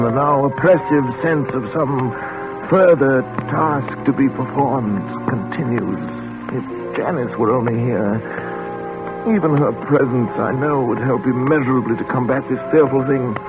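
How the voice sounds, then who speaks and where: An actor playing a tormented scientist and father in a 1940s radio thriller, dramatic and halting, with words repeated in dread. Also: medium pitch, lightly acted. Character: tormented male scientist, a father in thrall to a brain. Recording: tinny 1940s radio broadcast with music.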